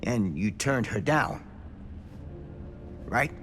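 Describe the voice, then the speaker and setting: An urgent, dramatic old ex-sheriff. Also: male voice, on the low side, lively intonation, very slow, fairly bright tone, faint music behind, heavily acted. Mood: anxious relief. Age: older. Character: gruff, urgent, haunted old former small-town sheriff. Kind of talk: cinematic horror game acting.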